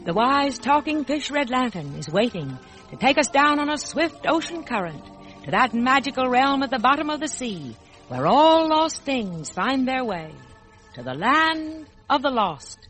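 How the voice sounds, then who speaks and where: A woman's voice: on the high side, sing-song, normal speed, fairly bright tone, soft background music, poor audio. A woman narrating a scripted children's fantasy as host and storyteller, in 1940s radio style.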